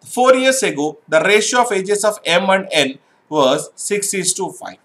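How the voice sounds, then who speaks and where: Bright-voiced man teaching math step by step. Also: high voice, big pitch swings, measured pace. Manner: explains step by step with frequent "right?" checks. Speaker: exam prep math teacher. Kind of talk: online exam-prep lesson.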